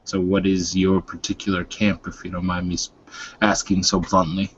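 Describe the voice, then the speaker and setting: Man playing a low, grave werewolf mentor. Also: completely flat delivery, slight background noise, natural voice. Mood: probing. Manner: measured, frank, solemn. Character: grave, blunt male werewolf mentor, clove smoker. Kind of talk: improvised tabletop roleplay. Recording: dull, dry lo-fi voice recording.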